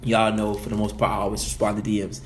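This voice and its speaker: A man's voice: fairly low pitch, little pitch movement, quick pace, slight background noise. A man from the Caribbean giving emphatic opinion commentary as a vlogger, preachy and repeating his points.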